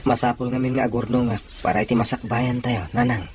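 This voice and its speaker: A man's voice: medium pitch, fairly even intonation, fast talker, a little mellow, natural voice. Earnest young Ilocano seafarer played by a man, noisy ambience. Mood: reassuring. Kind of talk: dramatised audio drama acting. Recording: noisy ambience with crowd murmur.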